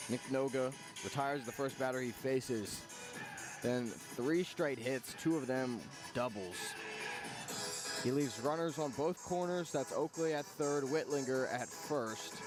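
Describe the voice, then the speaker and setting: A man calling live baseball play-by-play for radio, in short measured phrases with pauses between them. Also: mid-range voice, over music. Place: press box at an outdoor ballpark.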